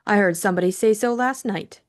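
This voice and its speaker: A woman voicing a spirited, frank young woman in an audiobook, brisk and matter-of-fact. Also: mid-range voice, natural voice. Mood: teasing. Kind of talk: audiobook character acting.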